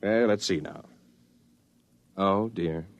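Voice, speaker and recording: An actor playing a mild, fussy, easily flustered bureaucrat in a 1950s radio drama. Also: male voice, low-pitched, takes it slowly, very noisy. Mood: polite, puzzled. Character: fussy, courteous, easily baffled male bureaucrat.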